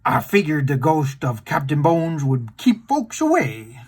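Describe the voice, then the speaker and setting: A man's voice: on the high side, sing-song, a little slow, a little hiss or hum. A man voicing a wistful, plain-spoken old retired sailor with a folksy seafaring way of talking, for a storybook.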